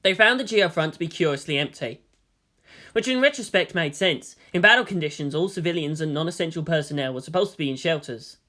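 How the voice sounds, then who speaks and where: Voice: medium pitch, fairly quick, sharp tone. A narrator reading a story aloud in a dramatic, scripted audiobook style.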